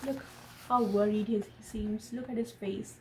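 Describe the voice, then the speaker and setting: A woman reading a picture book aloud in an expressive storytelling style, pausing to explain and ask questions of a child and viewers. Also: medium pitch, rough recording. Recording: noticeable background noise.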